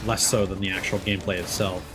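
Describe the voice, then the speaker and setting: A man's voice: sits low, little pitch movement, quick pace, music in the background. A man chatting casually as he commentates on a game for a livestream. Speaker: streamer.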